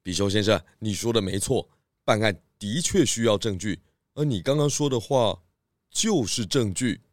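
A man playing a composed, astute pig detective, questioning deliberately in a children's radio drama. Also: on the low side, lightly acted. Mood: triumphant, questioning.

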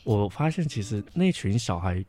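Low-voiced young Taiwanese man, reflective. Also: big pitch swings, moderate pace, warm tone. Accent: Taiwanese Mandarin. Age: young adult. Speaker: camp counselor sharing experiences. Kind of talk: casual podcast chat. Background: background music.

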